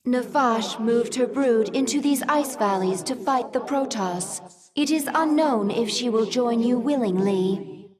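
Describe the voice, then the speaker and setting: An actress playing a calm, dutiful female alien advisor giving briefings. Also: a little high, a crowd in the background, lightly acted. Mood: measured. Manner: measured briefing delivery. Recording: game cinematic with music.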